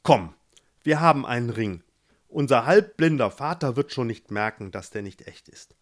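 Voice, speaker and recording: A man's voice: mid-range voice, expressive, normal speed, close to an everyday voice. A man voicing a haughty, scheming prince who is the brother of the hero. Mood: impatient. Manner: haughty, impatient.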